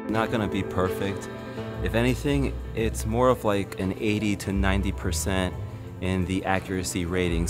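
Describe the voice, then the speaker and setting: A man in his thirties, a fitness vlogger, talking viewers through tips in a relaxed, explanatory way while filming himself in a large gym. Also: sits low. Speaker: fitness YouTuber. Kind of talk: casual how-to vlog. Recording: music bed under voice. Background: background music.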